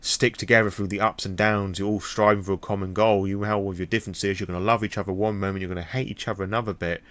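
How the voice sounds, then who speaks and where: A British man with a fairly low voice talks straight to his audience in an unscripted vlog. He is candid and reflective, feeling his way through his thoughts and swearing casually as he goes. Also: fairly bright tone, no echo. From Britain. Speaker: vlogger. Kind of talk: impromptu personal talk to the audience.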